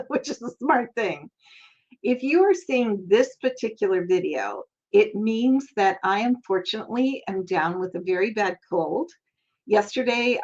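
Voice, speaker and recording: An older woman hosting a casual crafting tutorial, chatty and friendly, laughing often as she talks. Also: mid-range voice. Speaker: crafting channel host. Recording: slightly dull lo-fi sound.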